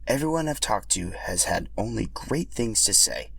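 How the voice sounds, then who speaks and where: Low-voiced man narrating, dry close sound. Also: big pitch swings, normal speed, gravelly, a bit bright, slightly rough, dry recording. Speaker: podcast host and storyteller. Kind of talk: scripted narration.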